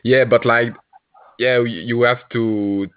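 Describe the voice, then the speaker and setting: Slow, hesitant man. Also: medium pitch, fairly even intonation, no room sound. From Quebec, Canada. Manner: hesitant, many fillers and restarts, laughs often. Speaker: podcast guest, improv player. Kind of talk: casual chat. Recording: tinny call audio, dry.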